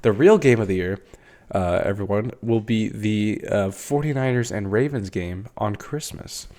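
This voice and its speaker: A man's voice: on the low side, moderate pace, dark tone. A young man with a congested, stuffy voice, rambling casually through a solo sports podcast with lots of fillers and the odd swear. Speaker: podcast host.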